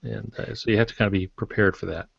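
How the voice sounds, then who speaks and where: An older man with a fairly low voice chats as a novelist on a podcast. His delivery is halting and anecdotal, with a matter-of-fact tone. The sound is dry and somewhat dull and lo-fi. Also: steady tone, creaky, breathy edge, dry recording. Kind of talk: casual podcast discussion about writing.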